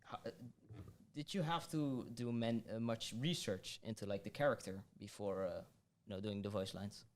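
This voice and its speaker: A man's voice: lowish voice, steady tone, moderate pace. A man hosting a podcast, asking questions and often stumbling over his words. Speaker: podcast host. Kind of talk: casual interview questions.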